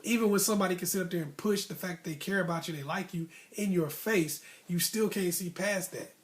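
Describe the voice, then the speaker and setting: An American man with a slightly high voice shares stories from his own life as informal motivational advice. He talks conversationally and leans on repeated phrases to drive his points home. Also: a little fast. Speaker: host of a motivational storytelling vlog.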